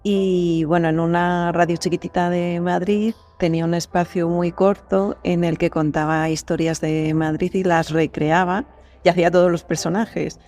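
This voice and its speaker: A woman's voice: lowish voice, darkish tone. A woman with an accent from Spain, a guest answering conversationally in a reflective, explanatory manner. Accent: Spanish from Spain. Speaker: voice actress, podcast guest.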